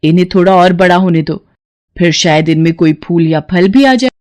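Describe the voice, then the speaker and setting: A woman's voice: fairly low pitch, expressive, moderate pace, a little mellow, lightly acted. A woman voicing an emotional village mother in a dramatic, exclamatory way for a Hindi cartoon moral story. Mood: excited. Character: Mala, emotional village wife and mother, proud then desperate.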